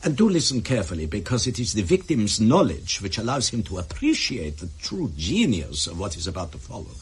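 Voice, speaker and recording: A man's voice: lowish voice, wildly swooping pitch, fairly quick, slightly rough, full character voice. An actor playing a sadistic, coolly courteous Soviet doctor who lectures his victim with precise, menacing politeness in a radio drama. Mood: gloating, coolly menacing.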